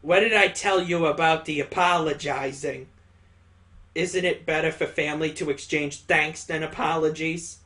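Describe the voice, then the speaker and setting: A man's voice: on the high side, steady tone, moderate pace, pushed and bright. A man voicing a plucky young daughter in a visual novel, frank and affectionately scolding. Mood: fond, earnest. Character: plucky, teasing young daughter of a weary father.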